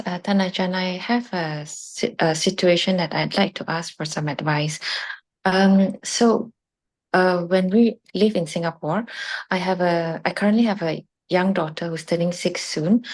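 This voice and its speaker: A woman from Malaysia asking a teacher for advice on a video call, speaking hesitantly with many pauses and restarts. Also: fairly low pitch. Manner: hesitant, with frequent fillers and restarts.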